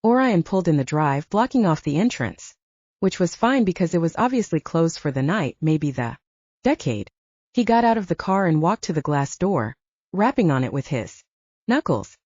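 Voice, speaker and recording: Woman, audiobook narration, lively pitch movement, suspenseful, dry room, slightly dull recording. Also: normal speed, husky, no room sound. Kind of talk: dramatic scripted narration.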